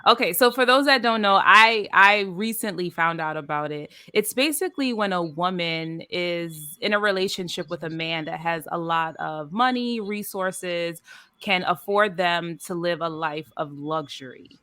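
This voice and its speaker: Bright-voiced chatty woman from the Bronx. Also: lowish voice, normal speed, clear, resonant tone, no room sound. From the Bronx, New York. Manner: chatty, animated storyteller. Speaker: podcast host. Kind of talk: casual chat.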